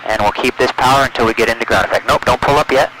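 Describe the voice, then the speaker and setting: Male flight instructor, mid pitch, calm and encouraging step-by-step coaching, clear engine noise on the intercom. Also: very fast, low-quality recording. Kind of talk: calm step-by-step flying instruction with radio calls. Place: small airplane cockpit in flight. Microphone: aviation headset. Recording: loud aircraft cabin noise, intercom audio.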